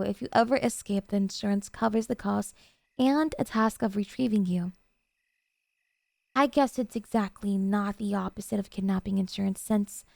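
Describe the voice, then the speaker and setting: Soft, mellow yandere girlfriend, acted by a woman. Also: mid-range voice, vocal fry, no room sound. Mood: musing, explaining. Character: sweet, possessive, obsessive young woman kidnapper girlfriend. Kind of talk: ASMR roleplay.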